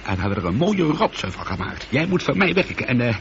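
A man's voice: mid-range voice, sing-song, a little fast, fairly bright tone. An actor playing a weary, irritable, proud astronaut in a radio play, sardonic and volatile in his delivery. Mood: angry, scornful. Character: weary, irritable, proud middle-aged male astronaut alone aboard. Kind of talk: scripted radio play acting. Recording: dull old radio recording, faint noise.

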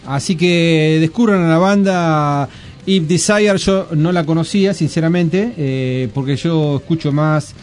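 A man's voice: a little high, measured pace, darkish tone, faint music behind. A man hosting a radio show, chatting in an easygoing way with his guest. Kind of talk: casual radio chat.

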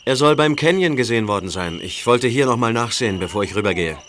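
A male actor playing a blunt, practical adult animal keeper with a brisk, plain-spoken manner in an audio drama. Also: medium pitch, expressive, a bit bright, a little hiss or hum, lightly acted. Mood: dismissive. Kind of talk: German audio drama dialogue.

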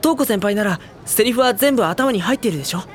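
A woman playing a sensitive, earnest teenage schoolboy in an audio drama, soft-spoken, sincere and introspective. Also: on the high side, expressive, fast, a faint crowd, close to an everyday voice. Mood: reassuring. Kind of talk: Japanese audio drama acting and narration. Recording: music behind the voice.

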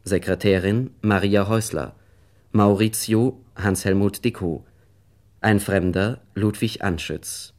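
A man working as a radio announcer, reading scripted credits in a measured, formal manner. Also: on the low side, darkish tone.

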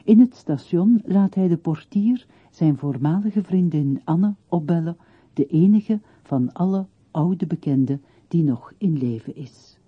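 A woman's voice: fairly low pitch, expressive, measured pace, breathy edge. A woman announcer reading a scripted introduction to a radio drama. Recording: roomy, muffled narrowband radio recording.

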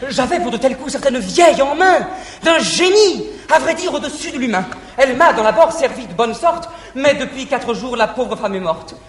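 Very high-voiced lovestruck young gallant. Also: male voice, lively intonation, normal speed. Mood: eager, confiding. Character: naive, lovestruck young gallant, eager and confiding. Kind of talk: classical French stage acting in verse. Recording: 1950s theatre recording, echoey hall, faint hiss.

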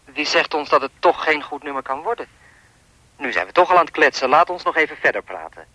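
A creepy male caller in a radio play, with a bright, dry sound and lively, swinging intonation. He is insinuating and persistent, needling his listener and refusing to let go. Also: a little high, fairly quick, no room sound, exaggerated voice, poor audio. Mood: coaxing. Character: creepy insistent male anonymous caller toying with woman.

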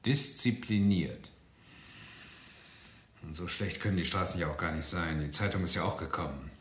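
An actor playing a brooding, guilt-ridden doctor with a subdued, hesitant delivery in a German radio crime play. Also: male voice, sits low, normal speed, a bit bright. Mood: dutiful. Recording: roomy, hissy old radio recording.

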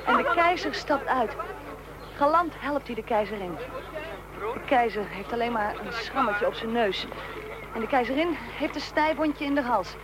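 A woman's voice: a little high, expressive, moderate pace, a crowd in the background, close to an everyday voice. A woman playing a tense eyewitness reporter in a radio drama, commenting dramatically on history as it unfolds. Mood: urgent. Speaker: reporter and interviewer.

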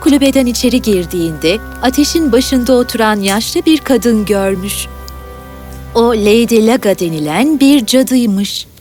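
A woman reads a fairy tale as narrator in a fairly high voice. Her delivery is scripted storytelling, with music clearly playing behind her. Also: expressive, a little slow, darkish tone.

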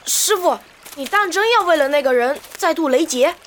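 A woman's voice: high-pitched, lively intonation, moderate pace, a bit bright, a little hiss or hum. An actress playing an anxious, loyal young female disciple in a costume fantasy drama, pleading and fretful. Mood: worried pleading.

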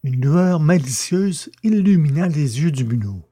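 Mellow narrator of a French audio story. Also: medium pitch, expressive, a little slow, slightly rough.

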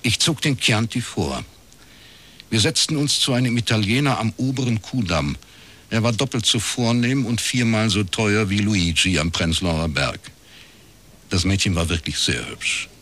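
An actor playing a reserved middle-aged detective in a radio crime drama, speaking in a dry, laconic way. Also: male voice, fairly low pitch, normal speed, fairly bright tone. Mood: dry, reflective. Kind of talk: first-person radio crime drama narration and dialogue.

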